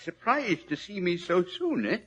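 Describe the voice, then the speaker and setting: An actor playing a gruff, stammering old book collector with a quavering voice in a 1940s radio drama. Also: male voice, high voice, big pitch swings, measured pace, fairly bright tone, lo-fi sound, exaggerated voice. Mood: apologetic. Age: older.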